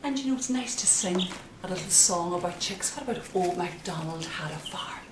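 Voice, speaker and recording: A middle-aged woman storyteller narrating simply and gently for young children, in a sing-song, deliberate way. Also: lowish voice, lively intonation. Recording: roomy, noisy, distant microphone.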